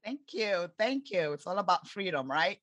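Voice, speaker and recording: A woman's voice: fairly low pitch, animated, normal speed, fairly bright tone, dry recording. East African woman telling her story earnestly. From Tanzania and Zambia. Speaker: podcast guest, life coach. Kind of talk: interview storytelling.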